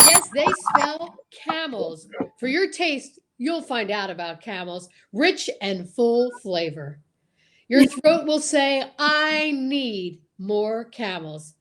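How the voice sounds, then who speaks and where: A grand 1940s radio pitch announcer , played by a woman, high in pitch. Each phrase is stretched out deliberately and theatrically to sell the product and introduce the show. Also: noisy background, heavily acted. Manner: slow, drawn-out, deliberate salesmanship. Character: old-time radio cigarette-ad announcer, grand and smooth-selling.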